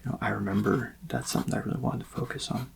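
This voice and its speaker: Soft-spoken man with heavy vocal fry. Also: medium pitch, fairly even intonation, a little hiss or hum. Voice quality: soft, breathy-edged voice dropping into whispers and creaky fry. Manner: gentle, unhurried, reassuring, politely attentive. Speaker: ASMR roleplay performer as a portrait artist. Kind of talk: soft-spoken ASMR personal-attention roleplay.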